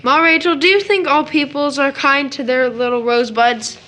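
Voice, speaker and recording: A woman's voice: sits high, steady tone, normal speed, pushed and bright, poor audio. A woman playing a sensitive, trusting seven-year-old boy in an audio drama, with a childlike, questioning manner. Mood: innocent, curious.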